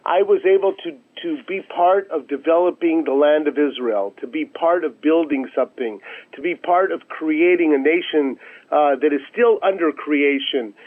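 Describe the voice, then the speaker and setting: A man answering as an executive guest in a radio interview, halting at times and repeating phrases for emphasis. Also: fairly high pitch. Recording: narrow, tinny phone line.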